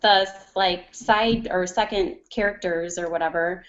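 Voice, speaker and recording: A woman's voice: fairly low pitch, measured pace. Hesitant woman guest on a video call, kinda muffled lo-fi sound. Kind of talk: casual chat over video call. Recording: dull lo-fi video call audio.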